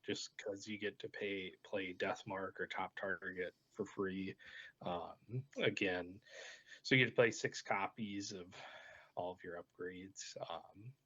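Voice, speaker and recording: A man's voice: low voice, steady tone, slow pace, a bit bright, husky. A man casually talking through a card game deck as a channel host, in an easygoing, colloquial way. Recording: dull, lo-fi voice track.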